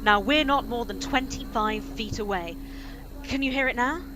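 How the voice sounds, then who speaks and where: Loud background noise surrounds a high-pitched female reporter played by an actress in a radio drama. She describes what she witnesses in halting, breathless bursts, stammering with alarm. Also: lightly acted. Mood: excited, curious. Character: female radio news reporter, excitable eyewitness to an alien landing. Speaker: actor in a live radio play. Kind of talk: dramatic radio play eyewitness commentary. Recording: noisy live stream with loud ambience.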